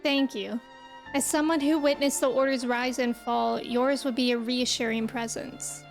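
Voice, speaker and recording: A woman's voice: sits high, steady tone, normal speed, faint music behind. A woman voicing an earnest, courteous young nobleman in a fantasy game, with formal, archaic phrasing.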